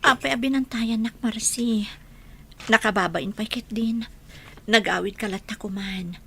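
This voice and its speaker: Weary, creaky-voiced grieving widow. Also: female voice, on the high side, measured pace, bright, forceful tone, exaggerated voice. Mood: weary, subdued. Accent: Ilocano. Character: grieving, weary village widow, grateful to her friend. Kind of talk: Filipino radio drama acting. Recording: noisy track with background ambience.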